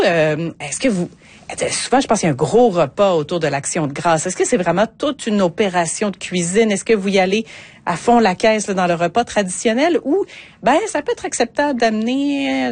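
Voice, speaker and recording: A woman's voice: medium pitch, a little mellow, dry recording. A woman from Quebec with a Quebec French accent, chatting casually as a radio co-host. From Quebec, Canada. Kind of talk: casual chat.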